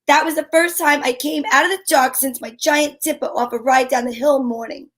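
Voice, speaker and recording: Actress as female gumshoe, high-pitched, sassy and wry, old-time radio style. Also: steady tone, normal speed, a bit bright. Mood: wry narrating. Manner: brash, slangy, wry. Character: sassy, wisecracking female private eye.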